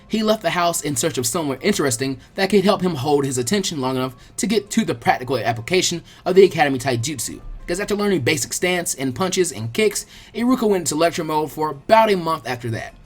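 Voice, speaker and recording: A man reading a story aloud as an expressive, colloquial audiobook narrator, with a husky voice. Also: fairly high pitch. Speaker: fan fiction audiobook narrator.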